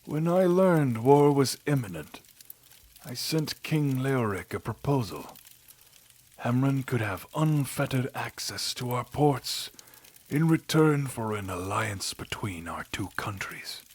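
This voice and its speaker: A man voicing an aging, weary, solemn king in an audio drama, husky and breathy, dropping to a half-whisper as phrases end. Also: mid-range voice, animated, a little mellow, pitched above the performer's own voice. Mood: weary, earnest. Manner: slow, grave, deliberate. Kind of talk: audio drama character acting.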